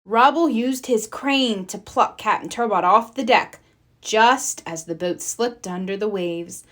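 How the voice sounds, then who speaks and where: A woman narrating a children's storybook in an animated read-aloud style. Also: medium pitch, unhurried. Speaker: storybook reader.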